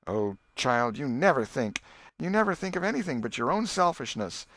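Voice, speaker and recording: A man's voice: medium pitch, sing-song, moderate pace, fairly bright tone, slight background noise, voice pushed up. A man voicing a scolding, sorrowful old aunt with folksy rural speech in a storybook reading. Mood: reproachful, sorrowful.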